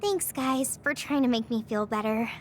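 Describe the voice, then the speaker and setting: Woman voicing a high, cheerful anime game girl. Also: normal speed, a little hiss or hum, natural voice. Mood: warm, eager. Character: cheerful, earnest young woman teammate who wields fire. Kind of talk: anime game English dub acting. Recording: background music under the voice.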